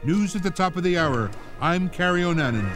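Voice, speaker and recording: A pompous radio anchor. The voice is fairly high and swings with animated, chirpy delivery over faint music. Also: male voice, fairly quick. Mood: pompous, upbeat. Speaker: news anchor. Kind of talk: mock radio newscast.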